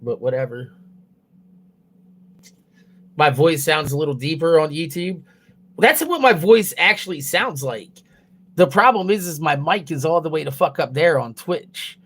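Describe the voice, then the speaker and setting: A man's voice: a little high, lively intonation, a little slow, long pauses, fairly bright tone. Young male streamer talking loosely into a phone, profane and rambling, laughs at his own jokes. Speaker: livestreamer. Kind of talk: casual profane stream chatter. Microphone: mobile phone.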